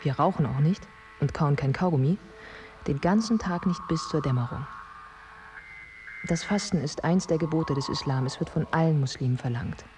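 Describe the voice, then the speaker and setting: An actress playing a thoughtful young woman, narrating in a measured first-person radio drama style. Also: sits low, background noise, close to an everyday voice. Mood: reflective. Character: thoughtful young Baghdad woman recounting wartime life.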